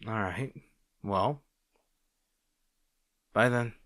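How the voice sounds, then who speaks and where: A low-pitched male supervillain rival acted by a man in an intimate audio roleplay. He is sarcastic and exasperated, yet softly protective, with halting delivery. The sound is dry and close. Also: no room sound. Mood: awkward, hesitant. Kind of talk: intimate audio roleplay acting addressed to the listener.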